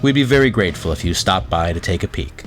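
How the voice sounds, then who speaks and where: Credits announcer: man, fairly low pitch, scripted read, music bed prominent underneath. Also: lively intonation, quick pace. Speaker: announcer reading show credits. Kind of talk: scripted narration.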